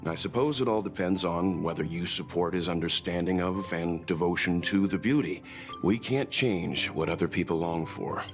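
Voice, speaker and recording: A man voicing a world-wise older mentor in a video game, calm, reflective and reserved, with music behind. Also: sits low, moderate pace. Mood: thoughtful, wry. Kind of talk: restrained video game voice acting.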